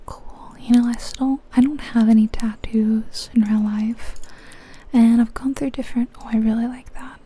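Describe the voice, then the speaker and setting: A woman whispering softly and breathily in a casual, chatty ASMR style as she comments on a game, gentle and musing. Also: a little high, steady tone, normal speed, breathy edge. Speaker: ASMR channel host.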